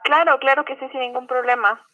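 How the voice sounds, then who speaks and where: A woman's voice: high voice, steady tone, fairly quick, sharp tone, a little hiss or hum. A woman working as a customer service agent, heard over the telephone, speaking politely and accommodatingly. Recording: dull, lo-fi phone line.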